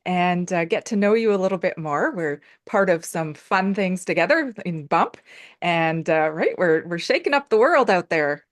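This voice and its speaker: An effusive woman hosts a casual chat over a video call. Her pitch swoops widely and she laughs often. The sound is dry and close. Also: expressive, normal speed, dry recording. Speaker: host.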